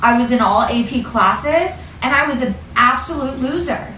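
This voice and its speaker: A woman's voice: high voice, expressive, normal speed, bright, forceful tone, a little hiss or hum. A young woman telling a casual personal story in a conversational, self-deprecating way, peppered with "like". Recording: roomy echo, distant from mic.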